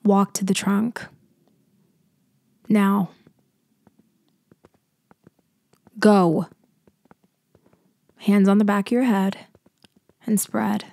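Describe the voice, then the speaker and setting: A woman playing a cool, teasing, controlling mafia leader in an ASMR roleplay, speaking deliberately in a murmur that often drops toward a whisper. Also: mid-range voice, level tone, vocal fry, dry recording. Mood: commanding, threatening. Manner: slow, deliberate, softly taunting, often dropping to a whisper. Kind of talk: ASMR roleplay audio acting.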